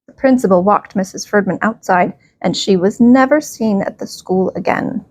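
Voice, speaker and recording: A woman reading a children's bedtime story aloud, expressively and with emphatic, drawn-out phrases. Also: mid-range voice, darkish tone, dry recording.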